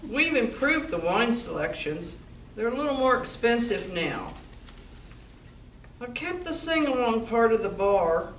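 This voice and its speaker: A dry, practical bar owner acted by a woman in an amateur stage comedy. She speaks slowly and fairly high. The sound is distant and echoey, with faint noise. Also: very animated. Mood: welcoming, wry. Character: wry, practical married woman who owns a bar. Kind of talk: amateur stage comedy radio play acting. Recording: echoey room from far off, background noise.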